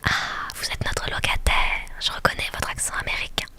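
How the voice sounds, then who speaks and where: A woman's voice: moderate pace, sharp tone. Woman whispering as a kindly old bookseller man. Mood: warm, welcoming. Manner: whispered, courteous. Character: kindly elderly male Parisian bookseller, courteous and welcoming.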